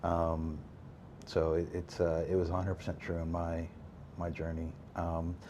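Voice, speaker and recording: Deep-voiced man hosting a faith talk. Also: a little slow, a little hiss or hum. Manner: relaxed, reflective, many fillers. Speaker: podcast host. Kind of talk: faith-based recovery conversation.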